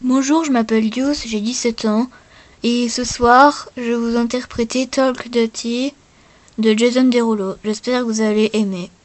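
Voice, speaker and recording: A woman's voice: on the high side, fairly even intonation, a little slow, pitched below the performer's own voice, natural voice. A woman voicing a polite seventeen-year-old boy introducing himself as a contestant in an amateur show. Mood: polite, hopeful. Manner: simple, polite self-introduction.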